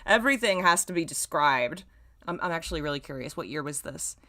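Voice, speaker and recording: A woman in her thirties with a low-pitched voice reads fiction aloud on a stream. She leans theatrically on the descriptive words, then drops into wry, self-mocking commentary. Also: animated, fairly quick, pushed and bright. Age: 30s. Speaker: streamer reading own old fan fiction. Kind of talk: live story reading with wry asides.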